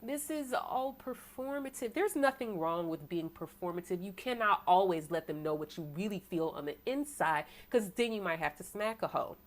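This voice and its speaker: Casting: American woman, tarot reader, deep voice, preaching tone, rhetorical questions, quick bursts. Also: animated, a little hiss or hum. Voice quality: self-described deep voice. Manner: preachy, rhetorical, asks "right?" often, bursts of fast talk. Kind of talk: tarot sermon to an online audience.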